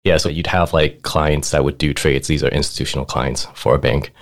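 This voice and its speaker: A man's voice: very deep voice, fairly even intonation, a little fast. A man in his thirties who grew up in Thailand, a finance manager telling his career story in a laid-back way, laughing often and saying "like" and "right?" a lot. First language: Thai. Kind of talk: casual interview storytelling.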